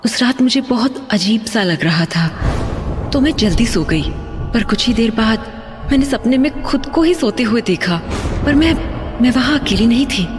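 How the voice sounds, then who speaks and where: A woman playing an uneasy, hesitant young woman haunted by a nightmare in an animated horror story. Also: on the high side. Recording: long hall reverb, music behind.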